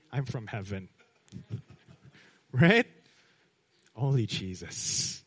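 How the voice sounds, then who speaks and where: Guy preaching a sermon, slow and measured, goes soft and low on the big lines. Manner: emphatic preaching, drops low and quiet at key lines. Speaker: pastor.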